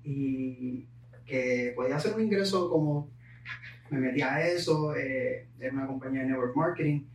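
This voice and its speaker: A man from Puerto Rico with a Puerto Rican accent, a podcast guest telling his life story in an unhurried way, slipping English words into his Spanish. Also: medium pitch, echoey room, distant mic, poor audio. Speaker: guest entrepreneur. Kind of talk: conversational storytelling.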